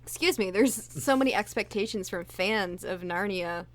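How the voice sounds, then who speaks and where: A woman's voice: medium pitch, normal speed. Casual woman guest with mid-range voice. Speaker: actress, interview guest. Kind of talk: casual interview chat.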